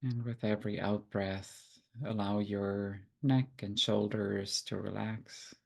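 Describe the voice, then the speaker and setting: Male meditation guide, heavy vocal fry, fairly low register, gentle unhurried instructions with generous pauses. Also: fairly even intonation.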